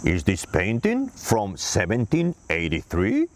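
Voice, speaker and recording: A man's voice: on the low side, huge pitch swings, slow, background noise, exaggerated voice. A man voicing a polite, formal senator while reading a children's book aloud. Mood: curious.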